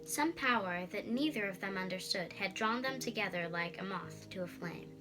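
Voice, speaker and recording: A young girl giving an expressive scripted reading of a story as narrator. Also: medium pitch, moderate pace, over music, poor audio. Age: child.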